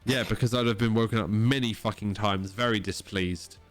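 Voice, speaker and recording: A man's voice: lowish voice, fairly even intonation, fairly quick, soft background music. A young man co-hosting a podcast, ribbing his friend with dry, sweary humor. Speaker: podcast co-host. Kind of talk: casual banter.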